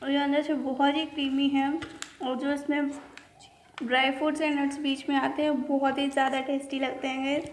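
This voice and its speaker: High-voiced woman reviewing a chocolate bar. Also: level tone, moderate pace. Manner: chatty, addresses viewers as guys, mixes English phrases. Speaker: food channel host reviewing chocolate. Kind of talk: casual product review vlog in Hindi-English mix. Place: indoors at a table. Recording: echoey room with faint noise.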